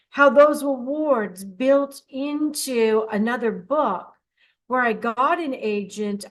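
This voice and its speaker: A woman's voice: fairly high pitch, poor audio. An older woman hosting a radio show alone, talking in a chatty, encouraging way with frequent restarts. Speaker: host of a dream radio show. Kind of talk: casual solo radio talk.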